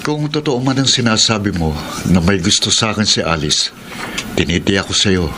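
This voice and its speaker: An actor playing a faithful married guard in a radio drama, speaking in a sober, earnest way. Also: male voice, fairly low pitch, moderate pace, background noise, natural voice. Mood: firm, earnest. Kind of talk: radio drama acting and narration in Tagalog.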